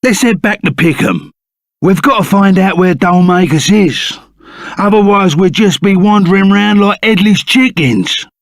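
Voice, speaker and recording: Animated Cockney bandit sidekick, creaky voice. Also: male voice, high voice, a little slow, no room sound, clean studio sound. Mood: brisk, blunt. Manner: rough colloquial slang, blunt and emphatic. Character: gruff, loyal reformed bandit sidekick, plain-spoken and excitable. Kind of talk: video game character voice acting.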